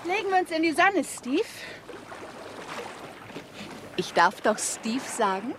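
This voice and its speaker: Seductive, high-voiced Hollywood film star. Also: female voice, sing-song, moderate pace. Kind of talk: 1960s German radio crime drama acting. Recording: 1960s radio recording, faint background noise.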